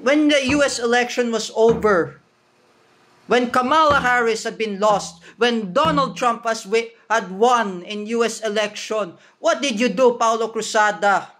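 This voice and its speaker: High-voiced Filipino man ranting angrily. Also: fairly bright tone. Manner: accusatory, repetitive, halting English with restarts. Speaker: vlogger. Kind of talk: angry rant to camera.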